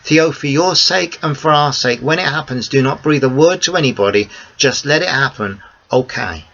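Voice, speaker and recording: A man's voice: mid-range voice, animated, normal speed, a bit bright, pitched above the performer's own voice. A man reading the part of a determined young woman, speaking firmly and earnestly to friends and family. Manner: firm, earnest pleading. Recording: dull lo-fi recording.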